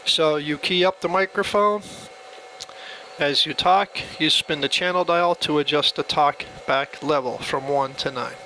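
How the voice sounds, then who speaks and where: A man with a bright, forceful voice pitched a little high walks through a demonstration. He explains things step by step in a casual, plainspoken way, with faint noise behind him. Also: unhurried, rough recording. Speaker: product demonstrator. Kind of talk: casual hands-on tutorial.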